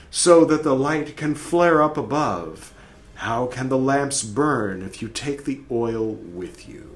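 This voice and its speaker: Man reading as a stern, grave soul. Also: mid-range voice. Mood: urging. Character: solemn disembodied soul, male, stern and probing.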